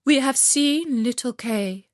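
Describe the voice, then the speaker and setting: A woman voicing a plaintive wood pigeon from a storybook, with drawn-out cooing cries. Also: sits high, no echo, clean studio sound, pitched above the performer's own voice. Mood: plaintive, eerie.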